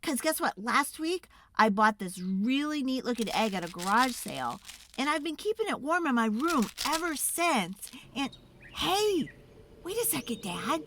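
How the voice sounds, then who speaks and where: A woman voicing an eager, pleading young child in an excitable, dramatic storybook read-aloud. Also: high-pitched, background noise, full character voice. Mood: hopeful. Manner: wheedling, excitable, dramatic, drawn-out emphasis.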